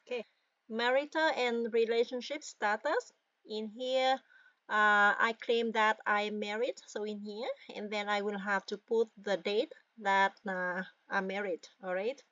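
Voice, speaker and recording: A woman's voice: medium pitch, fairly even intonation, a little slow, a bit bright, no room sound, muffled recording. A woman with a Vietnamese accent, a native Vietnamese speaker, talking through an online form step by step as a tutorial presenter, often checking in with "all right?" and "guys". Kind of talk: screen-recorded form walkthrough.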